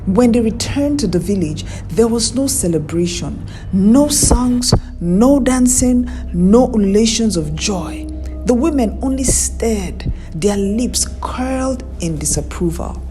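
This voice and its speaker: A woman narrating a scripted folktale in a measured, dramatic storytelling manner. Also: a little high, expressive, music in the background.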